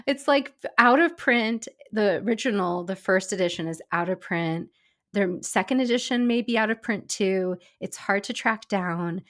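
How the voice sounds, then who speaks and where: A woman's voice: medium pitch, a bit bright. Chatty woman podcast host, slightly stuffy. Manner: chatty, enthusiastic, quick asides. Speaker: former personal chef and caterer. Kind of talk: casual chat.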